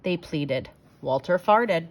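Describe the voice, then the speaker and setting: A woman narrates a picture book for children. Her delivery swings widely up and down in pitch, with dramatic emphasis and an expressive, theatrical feel. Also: slow pace. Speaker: storyteller reading a picture book aloud.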